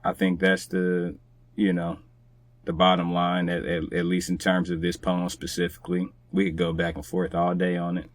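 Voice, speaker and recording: A man's voice: deep voice, monotone, normal speed, no echo. A man talking casually as a guest on a podcast. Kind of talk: casual chat.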